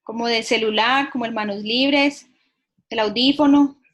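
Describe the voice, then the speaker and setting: A woman with a fairly high voice moderates a video conference. She speaks politely and formally and pauses now and then while introducing a guest. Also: steady tone, fairly bright tone. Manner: slightly hesitant, polite and formal. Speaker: host, moderator.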